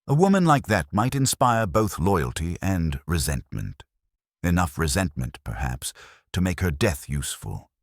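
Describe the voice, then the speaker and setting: A man narrates a detective story in a very deep voice. His delivery is deliberate and literary, yet the pitch moves in a lively way. The tone has some huskiness. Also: dry recording, studio quality. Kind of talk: scripted audio mystery narration.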